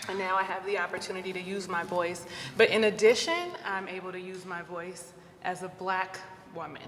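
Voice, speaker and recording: A woman's voice: lowish voice, normal speed, a bit bright, slight background noise. A woman in her twenties, a student, speaking earnestly and from the heart in a group discussion. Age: 20s. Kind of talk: debate discussion. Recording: roomy hall reverb, faint ambience.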